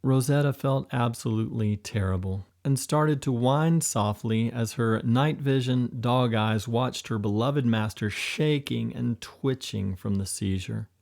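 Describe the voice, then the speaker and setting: A man narrating an adventure audiobook in a steady, gentle, measured reading. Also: medium pitch, vocal fry. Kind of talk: scripted storybook narration.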